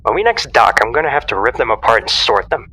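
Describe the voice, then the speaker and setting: A man's voice: mid-range voice, very animated, fast, sharp tone, poor audio. A man acting in an audio drama as a flippant, teasing inventor and loyal sidekick.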